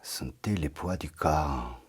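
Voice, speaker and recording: A man leading a guided yoga nidra meditation in a soft, calm voice that often drops into a half-whisper. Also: a bass voice, slow pace, breathy edge.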